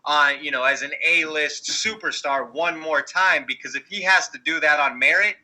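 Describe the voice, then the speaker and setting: A man's voice: on the high side, moderate pace, sharp tone, rough recording. A man co-hosting a sports podcast, giving opinionated analysis in an argumentative, explanatory way. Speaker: podcast co-host and fight analyst.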